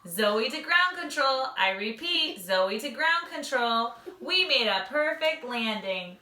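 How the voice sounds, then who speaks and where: A woman's voice: on the high side, expressive, slow, bright, forceful tone, echoey room, full character voice. A woman voicing a bold, eager young hen from a storybook, with confident, emphatic declarations. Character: bold, eager adventurous young female chicken.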